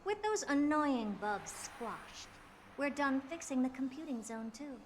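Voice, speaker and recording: A woman playing a haughty, brisk genius scientist in a video game, explaining things in an imperious, matter-of-fact way. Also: sits high, expressive, slight background noise. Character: haughty, brisk genius female scientist who commands puppets. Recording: game music behind. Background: game music.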